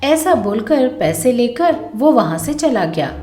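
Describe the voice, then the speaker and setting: A woman's voice: fairly high pitch, big pitch swings, unhurried, warm tone, reverberant, soft background music. A woman storyteller reading scripted narration for a moral tale.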